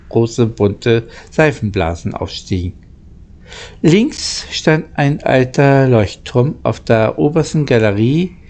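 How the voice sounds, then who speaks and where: A man reading a children's story aloud as an amateur narrator, haltingly, stumbling and rereading phrases. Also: mid-range voice, a little mellow.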